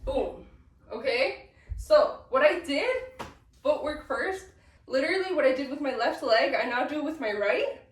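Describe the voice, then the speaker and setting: High-voiced sick young woman teaching dance. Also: a little slow. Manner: chatty, counts beats aloud. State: sick, sniffly. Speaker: dance tutorial instructor. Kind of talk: casual step-by-step dance instruction. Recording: echoey room, mic at a distance.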